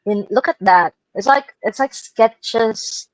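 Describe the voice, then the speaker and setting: A woman's voice: fairly high pitch, a little slow, no room sound, low-quality recording. A woman presenting games in an enthusiastic, casual chat. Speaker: gaming show presenter.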